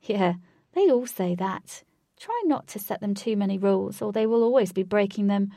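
A woman's voice: mid-range voice, animated, normal speed, dark tone, no echo, studio quality, close to an everyday voice. Woman acting a knowing, cheeky love adviser. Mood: teasing, knowing. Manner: playful, emphatic advice-giving. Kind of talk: audiobook character voice.

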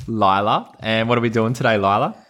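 A man's voice: lowish voice, fairly quick, fairly bright tone. An Australian man who hosts a podcast, talking casually and reading a children's storybook in a playful, encouraging way. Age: adult. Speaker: podcast host.

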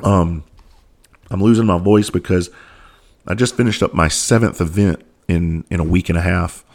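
A man, hoarse and losing his voice, hosting his own podcast in a candid, earnest monologue full of restarts and soft asides. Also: low-pitched, fairly even intonation. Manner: earnest, halting with frequent restarts and filler, drops into soft asides. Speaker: podcast host and mental health speaker.

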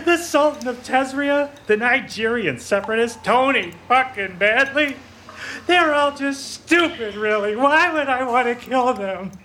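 A man's voice: very high voice, lively intonation, slow, sharp tone, full character voice. A man acting a put-upon detective's sidekick who turns coolly menacing, with a theatrical, sardonic delivery, in a hard-boiled comic audio drama. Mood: mocking, giddy. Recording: clear background noise with clicks.

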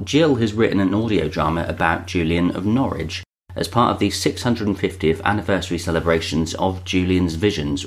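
Low-voiced man hosting an interview. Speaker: podcast host and interviewer. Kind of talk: conversational interview.

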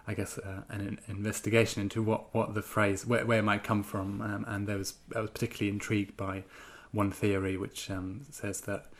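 A man's voice: fairly low pitch, level tone, a little fast, hardly any pauses. A man in his twenties or thirties, originally from Germany, answering interview questions hesitantly with many ums and restarts, then reading from his own piece. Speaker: guest writer.

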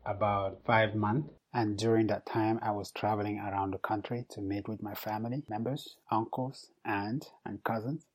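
Low husky-voiced Cameroonian man. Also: fairly even intonation. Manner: measured, many "uh" pauses. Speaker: podcast guest. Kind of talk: casual interview storytelling. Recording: dull lo-fi sound.

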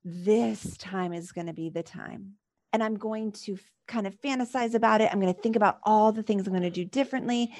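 A woman's voice: medium pitch, a little fast, darkish tone. A woman coach leading a live coaching session, reflecting the client's patterns back with probing questions and many "like" fillers.

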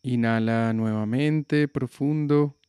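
Slow, calm man guiding relaxation. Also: mid-range voice, smooth, no echo, studio quality. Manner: slow, calm, soothing instructions. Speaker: yoga nidra guide. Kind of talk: guided relaxation meditation.

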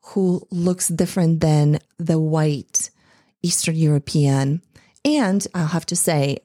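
A woman's voice: lowish voice, warm tone, dry recording, clean studio sound. A woman in her forties gives an impassioned, earnest talk to women in tech, with many "like"s and restarts. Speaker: speaker addressing women in tech. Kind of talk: earnest speech to an audience.

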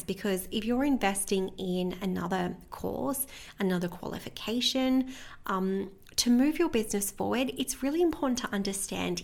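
A woman hosting her own podcast as a business coach, speaking in an earnest, motivational way with a slightly croaky voice. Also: mid-range voice. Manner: earnest, motivational, repeats phrases like "within your business" and "right?". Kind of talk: scripted podcast intro then casual monologue.

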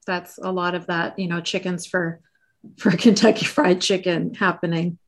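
A woman's voice: fairly low pitch, flat delivery, normal speed. A woman with Arabic as her first language hosting a casual online talk, outspoken and swearing when indignant. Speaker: host.

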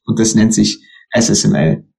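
A man's voice: on the low side, moderate pace, vocal fry, a little mellow, husky. A man as interview guest, explaining a voice product at length with frequent fillers. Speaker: guest, tech company expert.

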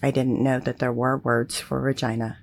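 A young adult woman whose first language is Pennsylvania Dutch, giving candid personal testimony as an interview guest in a measured, quietly emphatic way. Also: a bass voice, flat delivery, gravelly.

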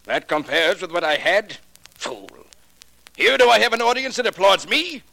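An actor playing a bitter, proud older former stage magician in 1940s radio drama, emphatic and theatrical. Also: male voice, high voice, animated, moderate pace, gravelly, sharp tone, dry recording, exaggerated voice. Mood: bitter, indignant. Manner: emphatic, theatrical, rhetorical questions. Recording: vintage 1940s radio broadcast recording.

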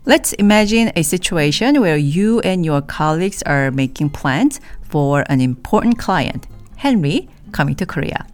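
A woman teaching a language in a scripted podcast lesson, saying model phrases slowly and clearly for listeners to repeat. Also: low-pitched, expressive, soft background music.

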